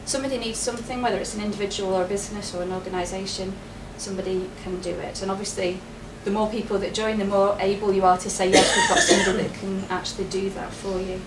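A middle-aged woman talks a room through a presentation in a relaxed, chatty way, often breaking into laughter. She is picked up by a distant camera, so her voice sounds far off and roomy. A steady background noise sits under her voice. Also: mid-range voice, quick pace, few pauses. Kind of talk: public presentation. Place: wood-panelled meeting room with projector. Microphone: far camera, no mic.

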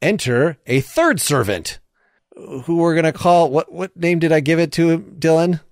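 A man's voice: a little high, normal speed, no echo. Male play-reading narrator, dry sound. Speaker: narrator and host. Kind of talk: informal stage-direction narration for a play reading.

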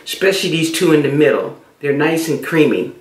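A woman's voice: sits low, sing-song, fairly quick, roomy sound. A middle-aged woman chatting casually while doing her makeup for a beauty vlog, repeating herself as she goes. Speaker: beauty vlogger. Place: small room indoors.

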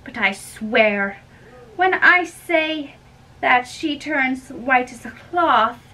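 A woman acting a fussy, chatty old nurse in an amateur dramatic play reading, with drawn-out delivery. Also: high-pitched, lively intonation, pushed and bright, voice pushed up, heavily acted. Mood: confiding. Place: small bedroom. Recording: distant mic, room noise.

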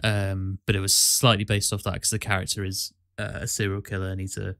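A man's voice: deep voice, completely flat delivery, brisk, creaky, fairly bright tone, dry recording, studio quality. A man hosting a podcast in a casual, chatty style. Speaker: podcast host. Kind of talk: casual chat.

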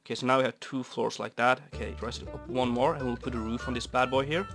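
Young man, raspy cold voice, from Norway, rambling game commentary, soft guitar music behind. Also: mid-range voice, steady tone, fast talker. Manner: rambling, easygoing, many fillers. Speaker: Minecraft builder. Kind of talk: casual gameplay commentary.

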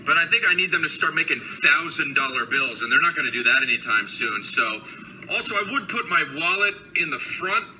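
A man's voice: on the high side, animated, fairly quick, bright, forceful tone, a little hiss or hum, poor audio. A man in his thirties teasing a friend in a phone video message, cocky and drawn out. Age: 30s. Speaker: comedian sending video message. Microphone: phone video played on another phone.

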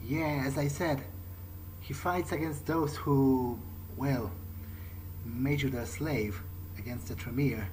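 A man's voice: medium pitch, takes it slowly, darkish tone, a little hiss or hum, low-quality recording. A man improvising in character during a video game, hesitant and stammering, often repeating words, with game ambience behind him. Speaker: gaming video host playing in character.

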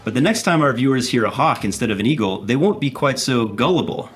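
A man's voice: mid-range voice, expressive, quick pace, soft background music. A man in his thirties hosting a scripted game show in a playful, punning manner.

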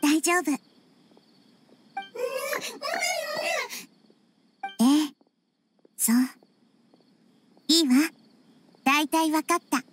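A woman playing a gentle, wise little girl deity in an anime game, speaking in a composed, polite, reflective way. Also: high-pitched, slow pace, slight background noise. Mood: calm, assured. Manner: composed, polite, reflective, feminine mature phrasing. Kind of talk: anime video game acting. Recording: music behind the voice.

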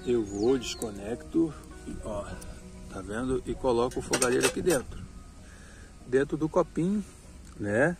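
A man in his thirties showing his gear outdoors in a casual vlog, talking slowly and repeating himself to viewers. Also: medium pitch, vocal fry. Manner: slow, repetitive, chatty, addressing viewers as "pessoal". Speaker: motorcycle travel vlogger. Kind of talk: casual show-and-tell vlog. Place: outdoors by a roadside. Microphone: action camera on the ground.

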